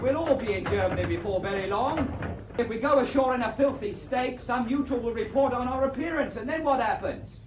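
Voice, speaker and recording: A man's voice: very high-pitched, lively intonation, fairly quick. A man playing a bold, cheeky British prisoner seaman in a wartime radio play, slangy and insistent, on an old recording. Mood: insistent, coaxing. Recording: echoey, muffled, noisy old recording.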